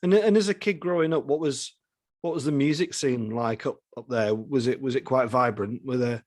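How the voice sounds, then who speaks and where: A man's voice: medium pitch, moderate pace, darkish tone, no room sound, studio quality. A man hosting a conversational interview, often repeating words as he forms his questions. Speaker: podcast host interviewing a musician.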